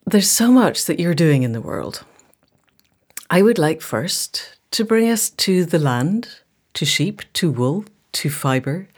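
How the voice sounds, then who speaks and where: Low-key, earnest woman podcast host. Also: darkish tone. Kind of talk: scripted podcast introduction, then conversational interviewing.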